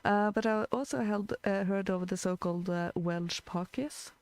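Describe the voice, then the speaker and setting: A woman from Norway with a Norwegian accent, chatting casually and telling anecdotes in a halting, hesitant way as a co-host. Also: medium pitch, darkish tone, no room sound.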